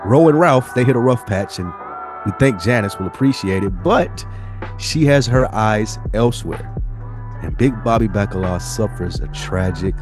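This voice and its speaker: A man's voice: fairly low pitch, lively intonation, moderate pace, music in the background. A man co-hosting a podcast, giving a casual recap. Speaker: podcast co-host.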